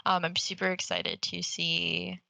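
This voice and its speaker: A woman talks as a casual podcast co-host in a fairly low, bright voice with clear vocal fry. The sound is dry and close. She is animated and halting, often restarting to fix her wording. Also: dry recording. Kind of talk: casual gaming podcast chat.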